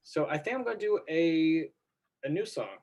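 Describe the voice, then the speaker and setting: A man's voice: fairly high pitch, expressive, moderate pace, darkish tone. A man, a musician, chatting casually about his songs, rambling with lots of fillers. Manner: rambling, full of "like" and "you know". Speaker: musician guest. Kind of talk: casual interview chat.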